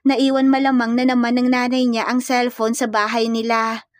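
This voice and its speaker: A woman reads romantic comedy fiction as a storyteller. Her voice sits fairly high. The recording is dry and close, with very little room sound. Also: little pitch movement, moderate pace, no room sound. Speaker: story narrator.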